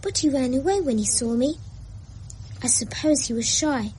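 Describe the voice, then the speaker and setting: A woman's voice: high voice, expressive, moderate pace, a little mellow, poor audio. A wistful little boy. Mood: bored. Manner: plaintive, childlike pleading. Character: lonely imaginative young boy, wistful. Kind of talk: radio drama acting. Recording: old radio play with faint hiss.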